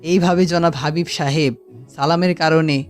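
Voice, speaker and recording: Man as higher-pitched cartoon storyteller. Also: steady tone, moderate pace, clear, resonant tone, faint music behind, close to an everyday voice. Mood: measured storytelling. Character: storyteller narrating a moral tale. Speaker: narrator. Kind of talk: scripted storytelling narration for a cartoon.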